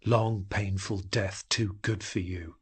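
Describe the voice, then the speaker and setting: Guy doing a bitter hateful man for audio drama, slow n husky, fuming and muttering. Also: deep voice, fairly even intonation, a little mellow, full character voice. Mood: seething hatred. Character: bitter, seething, obsessive man, muttering his hatred.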